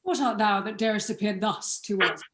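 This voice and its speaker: A woman's voice: medium pitch, brisk, a bit bright, slight background noise, lightly acted. A woman playing a commanding ruler in a Shakespearean radio play. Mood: stern, stunned. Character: Octavius Caesar, commanding male ruler, shaken. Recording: echoing, roomy sound.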